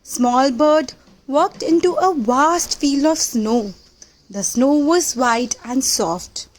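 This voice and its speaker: Very slow, high-pitched woman reading to children. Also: rough recording. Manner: simple, deliberate phrasing with questions to young listeners. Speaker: storyteller reading a picture book to children. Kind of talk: children's read-aloud storytelling.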